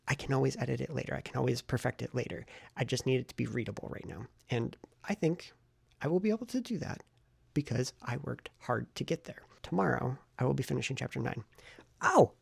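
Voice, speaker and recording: Male writing vlogger, casual and effusive, fry-laden husky voice, close dry sound. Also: medium pitch, darkish tone, no room sound. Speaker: novelist vlogger documenting writing progress. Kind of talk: casual vlog chat to camera.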